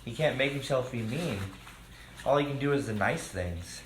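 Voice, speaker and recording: A man with a mid-range voice reads a picture book to children, adding asides addressed straight to them. His delivery is expressive, and the room is echoey with a little noise behind him. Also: mid-range voice, moderate pace. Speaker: children's storybook reader. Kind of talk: read-aloud storytelling with asides to children.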